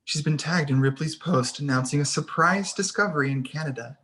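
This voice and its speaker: A narrator with a medium-pitched voice reads a screenplay aloud. The delivery is scripted narration, setting out scene headings and action lines. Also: a little fast, fairly bright tone. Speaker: stage directions reader. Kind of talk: scripted narration at a table read.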